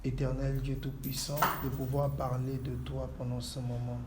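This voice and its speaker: A man from Congo who leads a church, answering calmly and praying in a measured, preacherly way. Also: mid-range voice, very noisy. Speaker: pastor. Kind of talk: calm interview answers and prayer. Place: inside a church.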